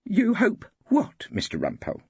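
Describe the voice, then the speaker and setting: An audiobook matron, middle-aged and briskly cheerful, acted by a man. The pitch swoops high and chatty with a prim edge, and the recording is slightly dull. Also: wildly swooping pitch, breathy edge, dry recording, full character voice. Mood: brisk, unruffled.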